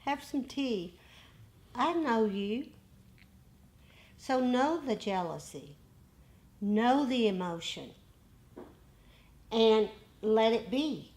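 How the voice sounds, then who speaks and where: A woman meditation teacher in an informal dharma discussion, explaining deliberately in short, repeated phrases. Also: a little high, lively intonation, a little mellow.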